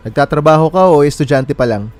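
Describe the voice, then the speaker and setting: A man's voice: a little high, quick pace, a little mellow, natural voice. An actor playing a friendly young man in a radio drama, talking in a casual, conversational way. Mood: friendly, curious. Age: young adult. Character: friendly, worried young man waiting at a bus terminal. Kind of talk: naturalistic radio drama dialogue in Tagalog.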